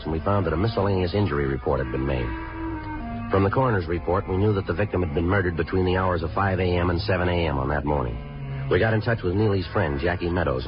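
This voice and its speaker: A stern, businesslike detective played by a man on a 1950s radio police show. His very deep voice moves quickly, in clipped, deadpan, matter-of-fact phrases. The sound is muffled, as on an old broadcast. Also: lightly acted. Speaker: detective narrator. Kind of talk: 1950s radio police drama narration and dialogue.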